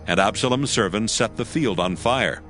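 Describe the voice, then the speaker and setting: A male narrator reading scripture in a measured, solemn manner, his voice on the low side. Soft music runs faintly underneath.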